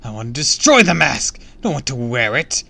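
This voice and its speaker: A man's voice: on the high side, slow, vocal fry, dry recording, voice pushed up, exaggerated voice. Man acting a raging girl possessed by evil. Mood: defiant. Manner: shouted defiance, roaring threats. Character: desperate young girl turned raging by evil mask.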